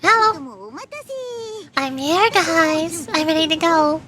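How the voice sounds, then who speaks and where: A woman's voice: high voice, expressive, slow pace. A woman voicing a perky, scheming young cat girl mercenary, chatty and cajoling, reading game dialogue. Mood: eager, puzzled. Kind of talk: video game dialogue read aloud. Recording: loud music behind the voice. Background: game music.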